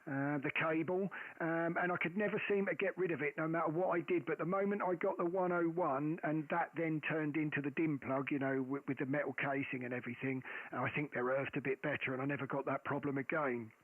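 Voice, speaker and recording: A man with a loud, carrying voice rambles happily about his radio settings, full of ums and restarts. His voice sits a little high and very dry, pressed close to the mic. It all comes through a narrow, phone-like radio band. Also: steady tone, fairly quick, few pauses, no room sound. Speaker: amateur radio operator. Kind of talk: casual radio chat. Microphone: boom mic close to the face.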